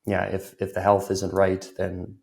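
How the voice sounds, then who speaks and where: Man, low-ish voice, a little rough from a cold; loose and talkative interviewee. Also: monotone, a little slow, a little mellow. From Ireland. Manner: loose, filler-heavy, laughs easily. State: recovering from a cold, voice a bit off. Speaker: guest, business consultant. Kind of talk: conversational interview.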